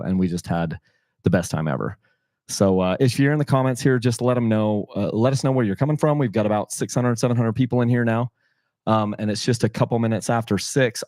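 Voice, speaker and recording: A middle-aged man with a slightly hoarse voice, hosting a casual livestream from his house and rambling with frequent self-corrections. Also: on the low side, darkish tone, no echo, clean studio sound. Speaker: channel host running a sweepstakes livestream.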